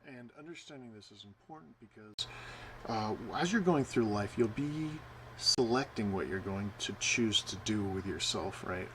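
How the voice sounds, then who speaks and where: A man's voice: fairly low pitch, animated, normal speed, crowd noise. A man giving an informal sermon, rambling with many "uh"s and often asking "right?". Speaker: preacher.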